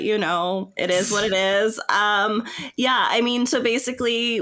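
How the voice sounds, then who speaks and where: A woman's voice: lowish voice, a bit bright. Casual, self-deprecating woman podcast host. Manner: informal, sweary, self-deprecating. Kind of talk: casual chat.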